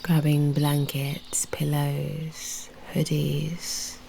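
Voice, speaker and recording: A British woman guiding a meditation in a soft, breathy, near-whispered voice, soothing and unhurried, leaving long pauses. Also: low-pitched, completely flat delivery, a little hiss or hum. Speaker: meditation guide and host. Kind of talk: guided meditation narration.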